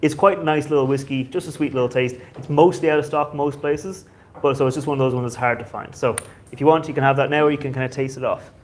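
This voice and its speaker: A man's voice: medium pitch, fairly even intonation, fast, background noise. A man hosting a whiskey society tasting night, chatty and loose, with many fillers.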